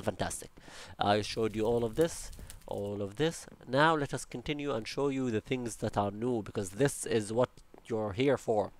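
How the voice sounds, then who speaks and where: A young man with an Arabic accent, casually commenting while building in a game, rambling with jokey asides and talking to himself. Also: medium pitch. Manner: chatty, rambling, jokey asides and self-talk. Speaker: Minecraft building channel host.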